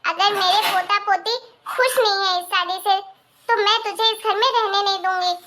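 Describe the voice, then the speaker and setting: Woman voicing a shrill, stern scolding mother-in-law. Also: steady tone, normal speed, noisy background. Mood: angry scolding. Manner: scolding, accusatory, emphatic. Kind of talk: Hindi moral-story cartoon dialogue.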